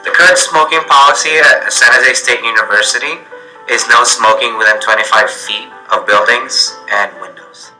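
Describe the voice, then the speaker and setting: A man's voice: mid-range voice, normal speed, bright, forceful tone, poor audio. A young man giving a prepared statement in an interview as a student group's president. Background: music.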